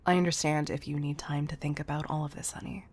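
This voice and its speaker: A woman playing a protective, doting CEO, tough but tender, in an intimate audio roleplay, husky and breathy, often close to a whisper. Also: deep voice, flat delivery, a little mellow. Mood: tender, solemn protectiveness. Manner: intimate, deliberate delivery with pet names.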